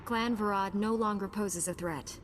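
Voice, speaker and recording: A woman's voice: medium pitch, normal speed, faint music behind, natural voice. A woman playing a confident, capable female Jedi knight in a video game, speaking in an assured, direct way. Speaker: player hero character in a video game. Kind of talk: scripted game dialogue.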